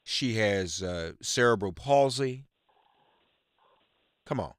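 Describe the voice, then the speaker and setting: A man hosting a live political call-in radio show, halting and emphatic, repeating words for stress as he reads news and talks with callers. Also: on the low side, animated, smooth, no room sound, studio quality.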